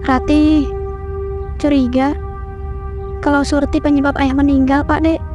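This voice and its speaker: A woman's voice: high voice, little pitch movement, a little slow, highs cut off, over music. A woman voicing a worried, polite young wife in a horror cartoon. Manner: anxious, polite, questioning.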